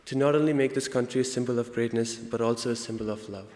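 A young man with an Indian accent gives an earnest prepared student speech, halting now and then to correct himself. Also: mid-range voice, a little mellow. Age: young adult. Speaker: student speaker. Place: large indoor hall, convocation. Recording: echoey hall with long reverb.

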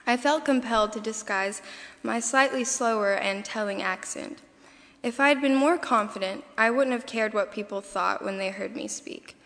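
A woman's voice: fairly high pitch, moderate pace, vocal fry, fairly bright tone. Young Southern woman reading her speech. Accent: softened Southern US, Tennessee. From Eastern Tennessee. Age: young adult. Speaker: student speaker. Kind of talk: reading a prepared personal essay speech. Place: campus convocation hall. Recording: reverberant hall.

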